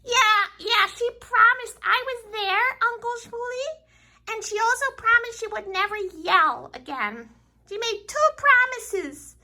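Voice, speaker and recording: Little girl puppet for kids' show: extremely high, very bright, childlike, earnest. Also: lively intonation, measured pace, full character voice. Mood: eager. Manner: childlike, gushing, hesitant.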